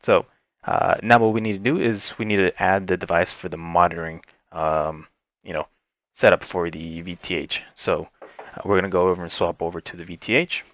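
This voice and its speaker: Very low-voiced man giving tech tutorial. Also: moderate pace, a bit bright, no room sound. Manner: informal, explanatory. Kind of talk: step-by-step software walkthrough, unscripted.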